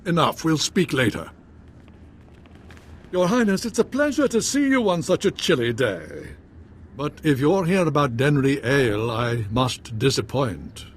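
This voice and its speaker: An actor playing a condescending baron in a video game, with florid, courtly phrasing. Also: male voice, mid-range voice, big pitch swings, unhurried, a little hiss or hum. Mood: courtly, dismissive. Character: courtly, condescending male baron of a noble house.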